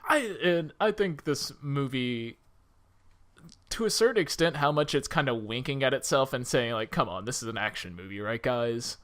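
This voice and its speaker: A man's voice: medium pitch, expressive, moderate pace, a bit bright. A man co-hosting a film podcast, talking casually and animatedly, often with a giggle in his speech.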